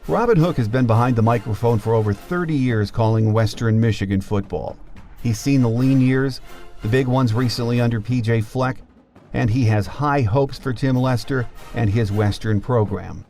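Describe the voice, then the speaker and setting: A middle-aged man hosts a sports podcast, reading from a script in a mid-range voice. Soft music sits behind him. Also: medium pitch, normal speed. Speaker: podcast host.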